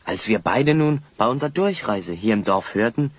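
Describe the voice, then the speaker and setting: An actor playing a confident, courteous adventurer hero in a German audio drama, polite and assured. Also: male voice, lowish voice, expressive, normal speed, no echo.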